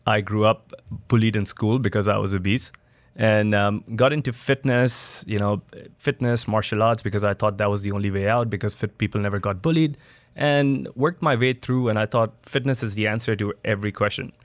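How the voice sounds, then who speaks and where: Relaxed man talking in a dry studio. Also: lowish voice, fairly quick, no room sound. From Dubai. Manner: loose, conversational, with restarts and fillers. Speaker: studio guest, biohacking entrepreneur. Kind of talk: casual interview answers.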